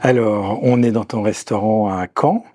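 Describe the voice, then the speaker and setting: A man's voice: fairly low pitch, measured pace, darkish tone, no echo, studio quality. A man interviewing a chef, putting short, direct, informal questions.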